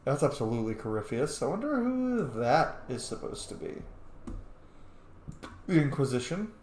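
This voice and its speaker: A man's voice: medium pitch, sing-song, normal speed, a little mellow, slight background noise. A man giving casual commentary as he plays a game, cheeky, blunt and sweary. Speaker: gamer, let's player. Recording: echoey room.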